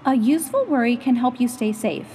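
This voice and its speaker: A woman reading a children's picture book aloud in an animated, instructive, expressive way. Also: a little high, fairly quick, long reverb tail, a little hiss or hum. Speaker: storytime reader and host.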